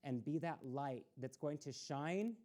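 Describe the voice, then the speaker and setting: A woman's voice: low-pitched, unhurried, mellow. A woman reading a picture book aloud to children on a community TV storytime and encouraging them. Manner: encouraging, talks straight to children. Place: community access TV studio.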